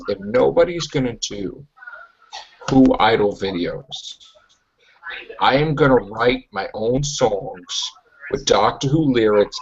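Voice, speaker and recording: Animated, pushy male host. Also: on the low side, slight background noise. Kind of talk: casual web show chat. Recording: muffled lo-fi recording.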